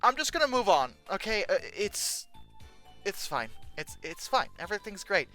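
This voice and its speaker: Exasperated comedic host, sarcastic and casual, very lively intonation, bright voice, close dry sound over faint music. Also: mid-range voice, moderate pace, no room sound. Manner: exasperated, sarcastic, incredulous asides. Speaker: host reacting to old book text and pictures. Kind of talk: casual comedic commentary with read-aloud passages.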